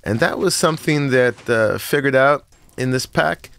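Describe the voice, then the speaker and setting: A man with a slightly froggy morning voice, narrating a relaxed, rambling tour of his game world with many "uh"s and short laughs. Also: medium pitch, moderate pace, gravelly, slight background noise. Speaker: Minecraft let's-play host. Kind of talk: casual gameplay tour narration.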